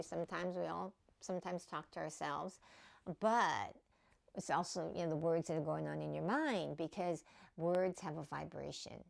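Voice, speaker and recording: A woman with a low voice and a gravelly edge gives a casual spiritual teaching. She talks in a loose, conversational way, full of fillers and little check-ins with the listener. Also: darkish tone. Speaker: spiritual teacher and podcast host.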